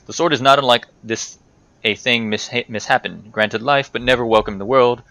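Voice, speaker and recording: A man's voice: mid-range voice, fairly even intonation, a little fast, bright, forceful tone, dry recording. A man giving casual, rambling commentary over a video game playthrough, chatting to himself and to the game's characters. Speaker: gaming video host.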